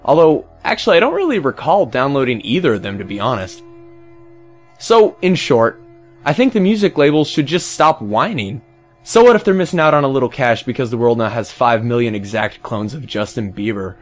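A man's voice: mid-range voice, lively intonation, brisk, lightly acted. A man playing a smug, callous music pirate in a scripted comic monologue, flippant and self-justifying. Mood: indignant, flippant.

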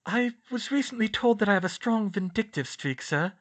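A sharp-tongued teenage witch girl with a tight, bright, high voice, put on by a male reader. She pushes her words out loudly, and her pitch leaps and dips with every thought. This is a storybook reading. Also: expressive, moderate pace. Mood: flustered apology. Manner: high, strained, outraged outbursts. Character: young teen witch girl, clever, anxious, quick-tempered.

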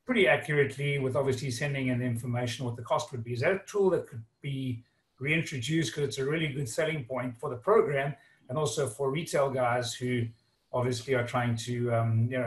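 A man speaking conversationally as a webinar attendee, giving feedback and asking a question over a call. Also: medium pitch. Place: indoor room, remote call. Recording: roomy, echoing call audio.

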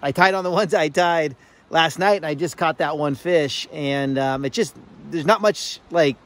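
A man's voice: a little high, moderate pace. A man filming himself fly fishing outdoors by a river, talking casually with many fillers and restarts.

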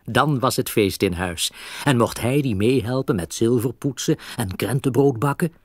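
A man with a Dutch accent narrating a scripted children's audio drama in an expressive storybook manner. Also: mid-range voice, moderate pace, no room sound, clean studio sound.

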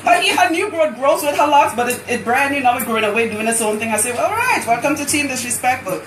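A woman's voice: high-pitched, fast, echoey room, a little hiss or hum. A woman with a Trinidadian accent, chatting boisterously on a live stream while working as a loctician in a hair salon. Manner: boisterous, animated, laughing. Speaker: loctician host.

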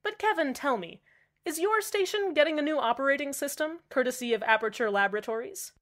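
A woman's voice: sits high, expressive, fairly quick, a bit bright. A woman playing a polite, uneasy male community radio host in a radio drama, hesitant and placating.

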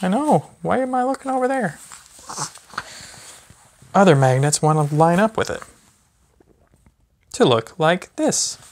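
A man in his thirties, a teacher, reading a children's book aloud with gentle, chatty asides to a baby. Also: high-pitched, animated, unhurried, pauses often. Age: 30s. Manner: gentle parent talking to a baby. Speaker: physics teacher. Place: small carpeted or wood-floored room at home, indoors. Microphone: phone camera a few feet away.

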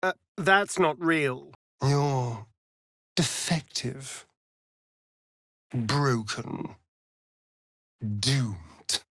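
A man's voice: medium pitch, extremely slow, long pauses, fairly bright tone, no echo, clean studio sound, heavily acted. A man with a British accent playing a vain, sardonic immortal vampire rogue in a fantasy video game, theatrical and arch. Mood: scornful, dry. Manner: arch, drawling, flamboyant.

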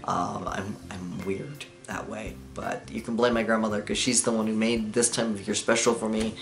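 A man's voice: lowish voice, little pitch movement, moderate pace, soft background music. A young adult man vlogging casually to camera in a living room, rambling with lots of fillers and small laughs. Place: living room with lamp and couch, indoors.

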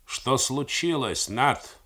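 A man's voice: medium pitch, animated, takes it slowly, pushed and bright, voice pushed up, full character voice. A man doing a sleepy, irritable navy captain. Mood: irritated. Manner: curt, grumbling.

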